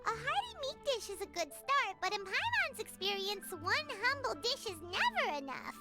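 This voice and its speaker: A woman's voice: very high voice, sing-song, normal speed, loud music behind the voice. A woman voicing a small, chatty, food-loving girl companion in a video game. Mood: curious, eager.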